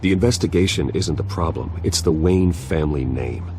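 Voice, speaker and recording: A man's voice: rumbling low, moderate pace, a little mellow, slight background noise. A man playing a terse, determined billionaire vigilante in a dramatic video game, with stern, resolute delivery. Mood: calm, guarded.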